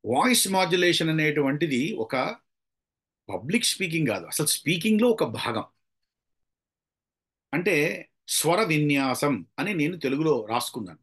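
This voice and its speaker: A middle-aged man with a Telugu accent speaks as a public speaking trainer in a somewhat high voice. He lectures conversationally, poses rhetorical questions to his listeners and slips English phrases into his talk. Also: fairly bright tone. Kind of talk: informal lecture with acted examples.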